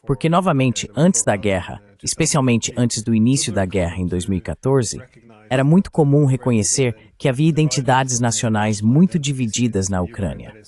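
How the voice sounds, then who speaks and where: A man's voice: medium pitch, animated, moderate pace, a little mellow. A man voicing the translation of an interviewer's remarks, in a conversational commentary style. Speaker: voice-over translator of an interview host.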